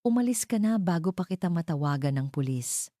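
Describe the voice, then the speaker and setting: A woman's voice: on the low side, animated, brisk, warm tone, no room sound, studio quality, lightly acted. Icy, bitter jilted woman read by a woman. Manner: cold, curt, bitter.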